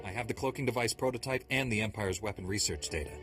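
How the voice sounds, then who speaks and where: An actor playing a stern, commanding Jedi Knight in a video game, terse and formally controlled. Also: male voice, fairly low pitch, fast talker, music in the background, close to an everyday voice. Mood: composed.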